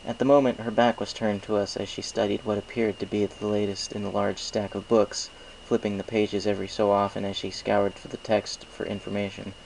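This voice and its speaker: A man's voice: lowish voice, little pitch movement, fairly quick, creaky, hardly any pauses, darkish tone, a little hiss or hum, poor audio. A man reading a scripted first-person story aloud as an amateur narrator.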